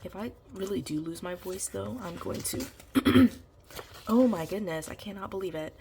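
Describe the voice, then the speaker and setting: A woman's voice: mid-range voice, unhurried, gravelly, dark tone, slight background noise. A woman vlogger chatting casually through her planner decorating, nasal from congestion and sick, her voice starting to give out. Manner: chatty, meandering, full of ums and you-knows. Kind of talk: casual plan-with-me chat.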